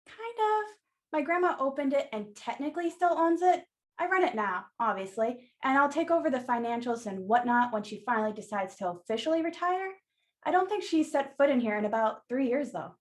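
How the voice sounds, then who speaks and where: A woman playing a flirtatious florist in a radio play, her voice on the high side. She talks casually, with wry humor and easy sympathy. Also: lively intonation, fairly quick. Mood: wry, chatty. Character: friendly, flirtatious woman florist running family shop.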